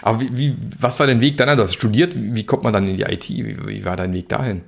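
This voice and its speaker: A man's voice: lowish voice, quick pace, vocal fry. A male podcast host chatting casually with his guest, with lots of restarts and fillers. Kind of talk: casual interview.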